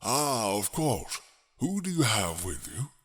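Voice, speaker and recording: A man voicing a grave, suspicious king in a dramatic roleplay, stately, formal and deliberate. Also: mid-range voice, sing-song, a bit bright. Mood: gracious, reserved.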